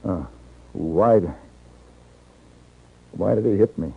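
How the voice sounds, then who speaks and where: An American actor playing a calm, plain-spoken captured gunfighter in a 1950s radio western, narrating and talking in a measured way. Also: male voice, low-pitched, creaky, husky, heavily acted. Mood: earnest. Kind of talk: 1950s radio western acting, first-person narration and dialogue. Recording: muffled 1950s radio recording, music behind.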